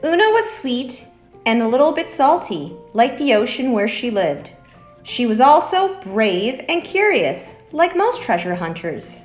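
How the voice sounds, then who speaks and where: A woman hosting story time for young children, talking and reading aloud in an animated way, addressing them as friends. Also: a little high, measured pace. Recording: roomy echo, faint music.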